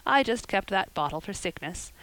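Female reader playing a brisk strict middle-aged woman, clipped and matter-of-fact, close dry sound. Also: medium pitch, animated, fairly bright tone, dry recording, low-quality recording. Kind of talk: audiobook character reading.

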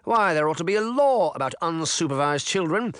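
A man's voice: fairly high pitch, expressive, fairly quick, fairly bright tone, clean tone, dry recording, studio quality, pitched above the performer's own voice. A man doing a sneering pompous mayor. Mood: condescending.

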